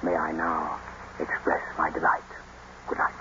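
An actor playing a brooding, bitter, self-made gentleman with a sardonic, deliberate old radio drama delivery. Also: a bass voice, completely flat delivery. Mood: bitter sarcasm. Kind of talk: 1940s-50s radio drama acting. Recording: noisy, dull old radio broadcast.

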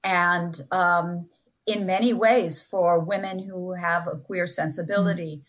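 A woman speaking as an interviewed guest, enthusiastic and thoughtful, often halting and restarting as she explains. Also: lowish voice, fairly even intonation, a bit bright. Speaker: interviewed guest, scholar and book editor. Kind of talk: spoken interview discussion.

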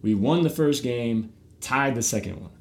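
A man's voice: medium pitch, animated, normal speed. A middle-aged man, host of a podcast and youth baseball coach, talking casually and animatedly, often repeating words as he starts a thought.

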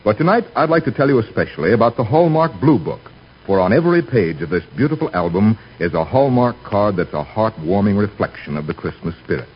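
A man's voice: fairly low pitch, sing-song, a little fast, a little hiss or hum. A man working as a radio announcer, reading scripted advertising with a polished, persuasive 1940s sales delivery. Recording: dull 1940s radio recording.

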